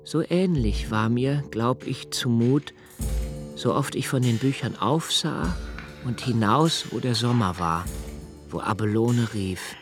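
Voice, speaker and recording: An actor playing a pensive, sensitive man who recalls his childhood in reflective, deliberate literary narration, with swells of intensity. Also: mid-range voice, expressive, music in the background. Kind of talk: literary first-person radio-play narration.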